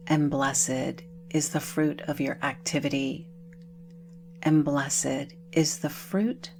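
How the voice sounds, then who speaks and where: A woman leading a guided meditation in a soothing manner, leaving long pauses between phrases. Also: low voice, noisy background.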